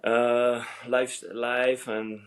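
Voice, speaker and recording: A middle-aged man, a surf coach guest who grew up in Senegal, telling his story in halting English with many restarts. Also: medium pitch, little pitch movement. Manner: halting, restarts words, speaks non-native English. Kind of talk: casual storytelling interview.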